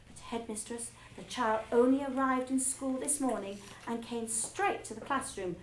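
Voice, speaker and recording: A woman voicing a gentle young schoolteacher who speaks softly and earnestly. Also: on the high side, moderate pace, reverberant, a little hiss or hum, natural voice, low-quality recording. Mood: pleading. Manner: soft, pleading and earnest.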